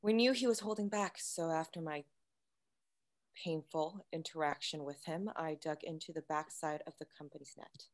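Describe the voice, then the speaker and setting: A woman playing a composed, secretive android science officer, measured and matter-of-fact, in a sci-fi table read. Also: deep voice, close to an everyday voice.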